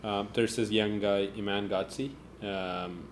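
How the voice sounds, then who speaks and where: A man hosting a podcast, chatting in a relaxed, curious and thoughtful way. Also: lowish voice, a little slow, slight background noise. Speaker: podcast host. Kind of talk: casual conversation.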